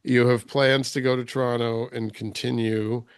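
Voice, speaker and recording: Gravelly smooth-voiced male host. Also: mid-range voice, flat delivery, normal speed, no echo. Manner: conversational, loose rambling questions. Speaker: podcast host. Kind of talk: casual interview. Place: remote call.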